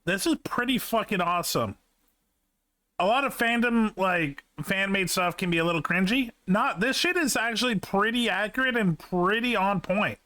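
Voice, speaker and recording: A man chatting casually on a stream, reacting excitably and swearing casually. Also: sits high, normal speed. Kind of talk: casual chat.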